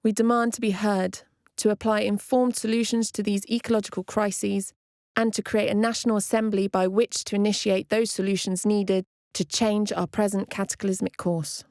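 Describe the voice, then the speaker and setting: A woman's voice: mid-range voice, level tone, moderate pace, darkish tone, no echo, clean studio sound. A woman reading a scripted activist declaration in a solemn, formal, declarative manner. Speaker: activist reader.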